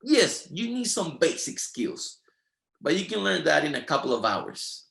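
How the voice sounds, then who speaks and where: A man's voice: a little high, very animated, measured pace, vocal fry, a bit bright, roomy sound. A man whose first language is Spanish, speaking with a Spanish accent and giving a motivational training talk in an emphatic, repetitive, preacher-like rhythm. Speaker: network marketing trainer.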